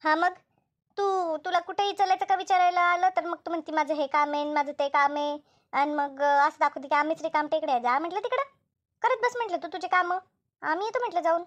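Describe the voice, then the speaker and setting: Woman voicing a high-pitched, fussy village neighbour. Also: a little fast, fairly bright tone, dry recording. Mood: scolding. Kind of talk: amateur cartoon comedy dub.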